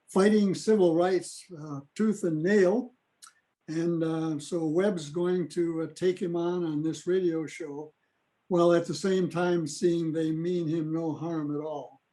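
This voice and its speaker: A man giving an informal, halting explanatory talk as a club presenter on a video call. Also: on the high side, warm tone. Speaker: old-time radio club presenter.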